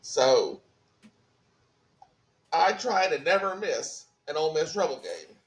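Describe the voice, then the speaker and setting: A man from Mississippi, a podcast guest telling his stories in a measured, laid-back way. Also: fairly high pitch, very animated, echoey room, far from the mic. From Mississippi, USA. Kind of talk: casual interview answers.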